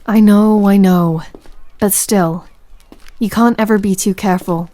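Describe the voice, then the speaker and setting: A woman's voice: medium pitch, fairly even intonation, unhurried, warm tone, no room sound, lightly acted. A woman playing a tender but commanding female pirate captain in an intimate audio roleplay, with a stage pirate accent and teasing sailor slang. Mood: gently commanding. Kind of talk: scripted roleplay audio, intimate second-person address.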